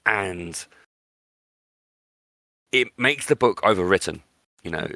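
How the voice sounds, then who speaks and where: A man's voice: sits low, expressive, slow, pushed and bright, dry recording. A man hosting a podcast, discussing books in an opinionated, emphatic way and repeating words for stress. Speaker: podcast host.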